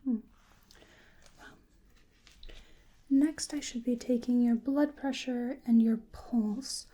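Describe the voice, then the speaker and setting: A woman performs a soft-spoken ASMR exam roleplay in a mellow, breathy voice. She often drops into a whisper and takes her time, musing gently and hesitantly. Her pitch sits slightly high beneath all the softness. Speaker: ASMR performer playing a researcher examining a creature.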